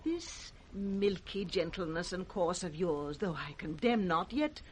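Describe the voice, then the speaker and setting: A woman's voice: fairly low pitch, lively intonation, measured pace. An actress playing an imperious, scornful, scheming British noblewoman in 1960s radio Shakespeare on a noisy vintage recording.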